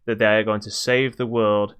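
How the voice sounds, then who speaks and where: A man's voice: lowish voice, level tone, moderate pace, fairly bright tone, clear, resonant tone. A man co-hosting a podcast, chatting casually about games with playful asides. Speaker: podcast co-host.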